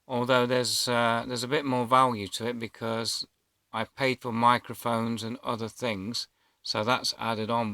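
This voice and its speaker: A man's voice: medium pitch, pushed and bright, dry recording. A man, telling a personal story casually to camera in a hesitant, rambling way. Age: 50s. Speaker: vlogger.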